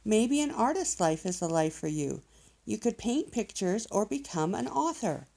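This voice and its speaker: A woman's voice: mid-range voice, very animated, normal speed, gravelly, mellow, slight background noise. A woman voicing an enthusiastic male professor bear who explains things in an encouraging, emphatic way, like a children's storybook guide. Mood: earnest, encouraging.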